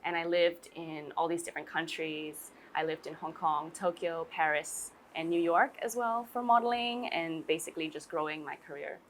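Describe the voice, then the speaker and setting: A young woman from Singapore in her twenties talks casually as an interview guest. Her voice sits somewhat low, and her sentences wander, full of "like" and "you know". She is recorded outdoors by a pool. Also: normal speed, few pauses, slight background noise. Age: 20s. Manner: chatty, says "like" and "you know" often.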